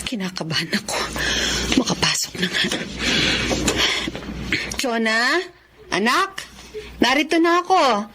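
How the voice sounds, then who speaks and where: A woman's voice: fairly high pitch, big pitch swings, takes it slowly, long pauses, noisy background. A woman playing an anxious, weary, submissive mother in an emotional Tagalog radio drama. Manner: emotional, fretful. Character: anxious, weary, submissive mother protecting her abusive husband.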